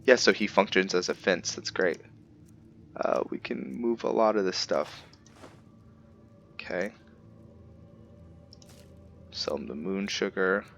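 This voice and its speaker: A man's voice: low-pitched, takes it slowly, pauses often, fairly bright tone. A man giving casual, improvised game commentary, musing aloud to himself in a tentative way. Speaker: gaming video host. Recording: muffled, with clear background noise.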